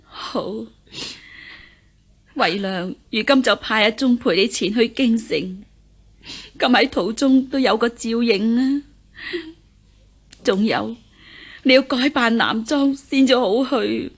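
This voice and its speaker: An actress playing a grave, caring older lady of the house, giving solemn, measured instructions in a historical radio drama. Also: on the high side, breathy edge, heavily acted. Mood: solemn, instructing.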